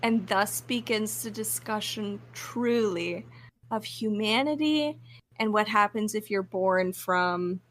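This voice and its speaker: A woman's voice: mid-range voice, slow, slight background noise. A woman co-hosting a podcast in casual chat, musing in a playful way. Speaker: podcast co-host.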